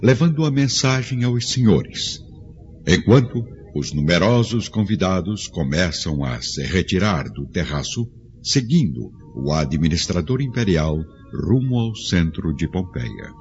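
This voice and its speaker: A man narrating a radio drama in a measured, solemn way. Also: sits low, very animated, soft background music. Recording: dull lo-fi audio with faint score.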